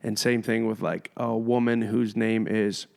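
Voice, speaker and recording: A man's voice: fairly low pitch, fairly even intonation, unhurried, vocal fry, darkish tone. A man hosting a podcast, blunt and fired-up, swearing casually as he talks. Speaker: podcast host.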